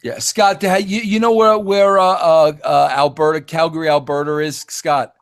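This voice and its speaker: A man's voice: a little high, steady tone, moderate pace, gravelly, clear, resonant tone. A man hosting a podcast interview, teasing his guest and often repeating words and restarting sentences. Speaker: podcast host. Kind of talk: casual interview chat.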